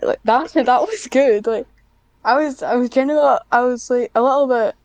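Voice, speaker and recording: A woman with a fairly high voice speaks as a guest on a podcast. Her delivery is casual and a little halting, and the recording is very dry with no room sound. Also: a little mellow, no room sound. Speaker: podcast guest, script writer. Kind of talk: casual chat.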